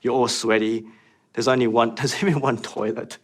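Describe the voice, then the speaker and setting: A man's voice: medium pitch, fairly even intonation, moderate pace. A young man, talking candidly in an interview and laughing now and then. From Australia. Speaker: interviewee, former trainee. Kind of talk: casual interview.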